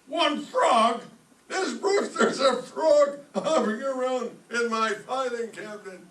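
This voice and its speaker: A man's voice: very high voice, slow pace, fairly bright tone, heavily acted. A man playing a bossy, blustering, easily flustered school principal in a radio comedy. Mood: flustered, shouting. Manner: officious, curt, exasperated. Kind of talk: old-time radio comedy acting. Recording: echoey room, distant microphone, faint noise.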